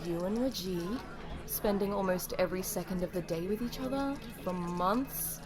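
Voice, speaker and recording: A woman's voice: medium pitch, moderate pace, close to an everyday voice. A woman playing a chatty, gossipy young office friend in an audio roleplay, talking in a playful, conversational way. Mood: teasing.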